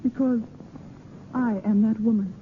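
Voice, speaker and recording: A woman's voice: on the high side, fairly even intonation, a little slow, close to an everyday voice. An actress playing a proud, earnest young French noblewoman with a formal, haughty manner in a 1930s radio drama. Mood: earnest pleading. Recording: tinny 1930s radio recording, faint noise.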